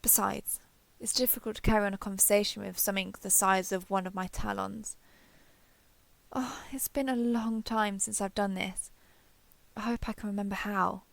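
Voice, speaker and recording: Casting: vain, imperious female dragon played by a woman for audio roleplay; theatrical, formal, gravelly edge, close dry sound. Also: mid-range voice, fairly even intonation, moderate pace, no room sound, lightly acted. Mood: vain, musing. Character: grand, vain female dragon, menacing yet eager and socially clueless. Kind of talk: scripted audio roleplay addressed to listener.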